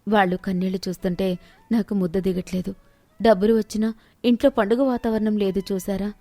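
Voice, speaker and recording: A woman's voice: medium pitch, fairly even intonation, fairly quick, warm tone, dry recording, natural voice. A woman voicing a concerned village wife and mother with a plaintive, questioning manner in a storybook tale. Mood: sorrowful.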